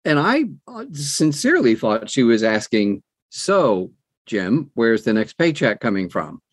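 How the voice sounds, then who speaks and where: A man in his sixties chatting as an interview guest, telling personal stories in an animated way and acting out quoted dialogue. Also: medium pitch, a little slow, no echo, clean studio sound. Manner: animated storyteller who acts out quoted dialogue. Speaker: guest, audiobook narrator. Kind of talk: conversational personal storytelling.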